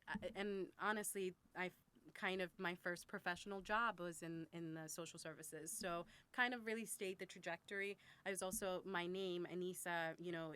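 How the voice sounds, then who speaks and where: Clear, bright-voiced woman in her thirties. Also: fairly low pitch. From born in Russia, raised in Thailand and the US. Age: 30s. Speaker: podcast guest, school worker and advocate. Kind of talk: reflective personal interview talk.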